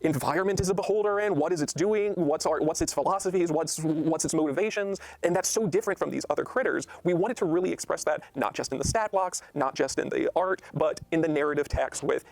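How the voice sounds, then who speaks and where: A man's voice: fairly high pitch, creaky, a little mellow, dry recording. A man speaking as a game designer, explaining ideas expansively with lists of examples in a panel-style discussion.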